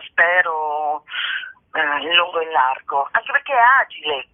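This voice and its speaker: A woman speaking casually in a phone interview as a performer, informal and playful, often thinking aloud. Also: lowish voice. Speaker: performer and playwright, interview guest. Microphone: telephone call. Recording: tinny narrow-band telephone line.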